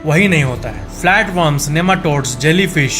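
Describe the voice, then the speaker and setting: Male facts presenter, high-pitched, conversational explainer delivery addressed straight to the viewer, background music. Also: animated, normal speed. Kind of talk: informal explanatory voice-over narration.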